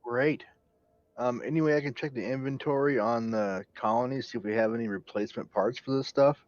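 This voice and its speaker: A man's voice: medium pitch, brisk, darkish tone, no room sound. A man speaking casually in character as a player in an online roleplaying game. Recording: dull, dry online voice chat.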